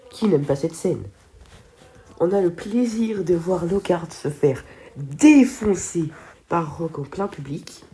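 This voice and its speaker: A woman's voice: low-pitched, warm tone. A teenage girl with a stuffy head cold, chatting casually through a film video and tripping over her words. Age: teens.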